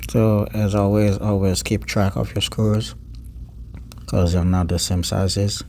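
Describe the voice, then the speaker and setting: A man giving an unscripted step-by-step repair tutorial, pausing mid-sentence and repeating words as he goes. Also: fairly low pitch, flat delivery, darkish tone, a little hiss or hum.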